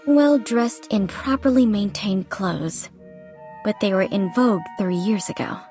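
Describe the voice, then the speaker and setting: A poised female investigator played by a woman in a story-driven game. She speaks in a measured, polite and analytical way, at a medium pitch, with music clearly playing behind her. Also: warm tone, lightly acted. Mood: reflective, analytical. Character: poised observant female reporter and investigator in disguise. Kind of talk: scripted game narration and dialogue.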